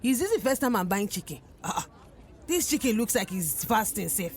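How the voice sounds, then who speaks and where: A woman's voice: mid-range voice, very animated, fairly quick, natural voice. A performer playing a scornful, fussy female customer with a Yoruba Nigerian accent, scolding and dismissive in a comic story. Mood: scornful, indignant. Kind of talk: acted dialogue in comic storytelling.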